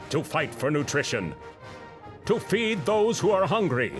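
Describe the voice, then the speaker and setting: An actor playing a bombastic, portentous superhero cartoon announcer in a mock-epic ad. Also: male voice, a little high, sing-song, unhurried. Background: music.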